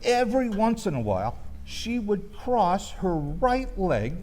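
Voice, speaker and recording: Slow-paced man telling stories in a high voice. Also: very animated, a little mellow. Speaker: storyteller. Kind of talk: live storytelling, first-person comic reminiscence.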